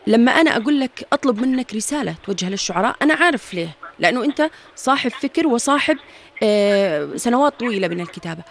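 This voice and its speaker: Gravelly Jordanian woman hosting a radio interview. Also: on the high side, expressive, a little hiss or hum. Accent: Jordanian Arabic. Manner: drawn-out filler sounds between phrases, affirming the guest. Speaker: radio host.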